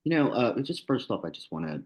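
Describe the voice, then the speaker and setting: A man's voice: mid-range voice, big pitch swings, fairly quick. A man with a New Jersey accent speaking casually on an online panel as a lawyer, with lots of fillers and restarts.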